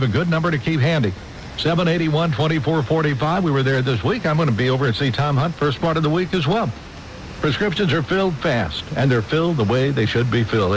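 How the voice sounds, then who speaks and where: Older American radio DJ, higher-pitched, noisy broadcast. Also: male voice. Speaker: radio DJ and host of an oldies show. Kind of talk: casual DJ patter between oldies, with ad-libbed sponsor reads.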